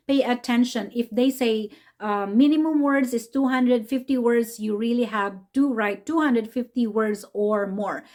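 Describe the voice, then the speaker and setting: A woman in her forties with a Filipino accent, chatting upbeat to camera from a small home room, often adding a quick "okay?". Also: on the high side. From Philippines. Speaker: nurse vlogger. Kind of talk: casual explainer vlog.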